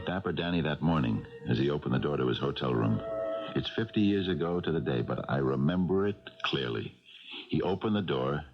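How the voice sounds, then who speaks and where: An actor playing a wry, patient old police sergeant in a 1970s radio drama, musing and hesitant. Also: male voice, extremely low pitch, expressive. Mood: wistful. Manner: hesitant, deliberate, musing delivery. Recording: dull vintage radio recording with music.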